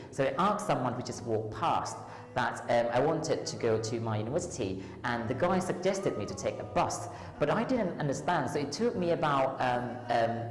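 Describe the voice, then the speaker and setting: A Thai-accented woman with a strikingly deep voice answers interview questions, pausing on ums and starting her sentences over. She sounds as if she is in a large room with a long, ringing echo. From Thailand. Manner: hesitant, many ums and restarts. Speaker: lecturer and tutor, runs a tutoring school. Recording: echoey hall with long reverb.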